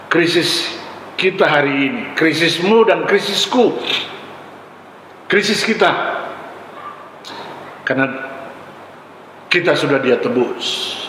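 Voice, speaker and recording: A man's voice: a little high, fairly bright tone. A male pastor from Ambon, Indonesia, preaching a sermon in short, emphatic, exhorting phrases with repetition. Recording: echoey hall with long reverb, faint noise.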